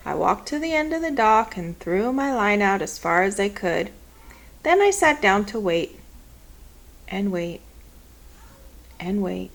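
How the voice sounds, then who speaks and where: A woman reading a children's story aloud in an expressive, measured storytelling manner. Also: medium pitch, vocal fry. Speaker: storybook reader.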